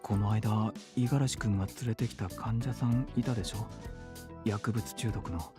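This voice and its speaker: An actor playing a worried, gossipy clinic colleague with soft feminine phrasing in a Japanese visual novel. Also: male voice, on the low side, fairly even intonation, fast, music in the background, close to an everyday voice. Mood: confiding.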